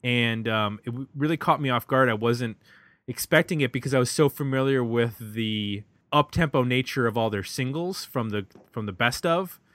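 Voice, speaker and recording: A man with an American accent casually discussing an album as a podcast host, halting and reflective as he thinks aloud. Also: medium pitch, fairly bright tone, no room sound. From United States.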